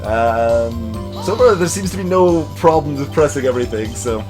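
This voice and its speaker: A man hosts a video game playthrough with casual, off-the-cuff commentary. His intonation moves around a lot, and soft music plays faintly behind him. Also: mid-range voice, expressive, moderate pace. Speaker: let's play host.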